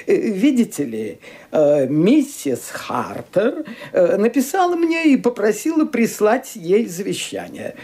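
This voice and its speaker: A man's voice: sits high, big pitch swings, dark tone, breathy edge, exaggerated voice. An actor playing a fussy, hesitant lawyer who stumbles over his words in a radio play. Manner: halting, full of "uh" hesitations. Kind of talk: Soviet radio play acting.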